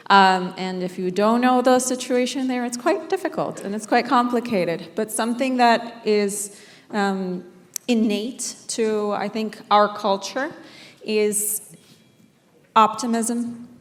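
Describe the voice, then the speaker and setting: A woman from Venezuela speaking thoughtfully and in a measured way on a panel in a large conference hall. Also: a little high. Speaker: business executive, panelist. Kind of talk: panel discussion, reflective. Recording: long hall reverb from event PA.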